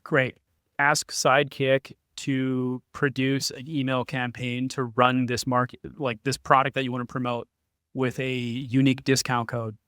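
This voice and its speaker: Medium-pitched man in a dry recording. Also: little pitch movement, a little slow, fairly bright tone, smooth, dry recording. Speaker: expert panelist. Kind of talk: conversational panel discussion.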